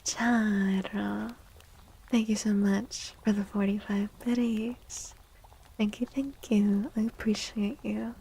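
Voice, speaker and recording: A woman hosting a soft-spoken ASMR livestream and reading tarot, gentle and reassuring, often slipping into a whisper. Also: medium pitch, steady tone, slow pace, vocal fry, a little hiss or hum, rough recording. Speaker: livestream host and tarot reader.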